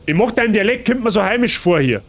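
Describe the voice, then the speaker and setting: A man's voice: high voice, animated, quick pace. A man with a Bavarian accent giving casual, chatty and playful live gaming commentary in colloquial speech. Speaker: streamer.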